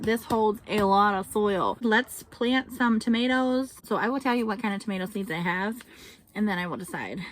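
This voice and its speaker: A woman homesteading vlogger talking casually to camera in a chatty, rambling way. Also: medium pitch. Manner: chatty and rambling, reads out seed names.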